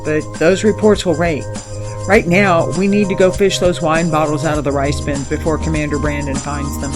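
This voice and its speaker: A mischievous female ambassador played by a woman in a science fiction audio drama. She is brisk, excitable and wry, with an animated delivery. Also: sits low, over loud music, lightly acted. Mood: conspiratorial.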